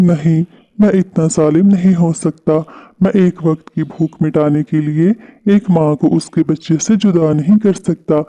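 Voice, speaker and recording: A man's voice: a little high, steady tone, measured pace, warm tone, natural voice. A man voicing a big male kite, a predatory bird in a children's cartoon. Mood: remorseful, gentle. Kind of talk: Hindi children's cartoon voice acting.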